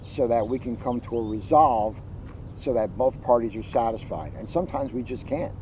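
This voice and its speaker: A man's voice: mid-range voice, normal speed, warm tone. A man reading and explaining to children in an emphatic way, repeating key phrases, outdoors. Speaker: police officer reading to children. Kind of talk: storybook narration and casual explanation. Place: outdoors, sitting outside. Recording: muffled outdoor recording with traffic noise.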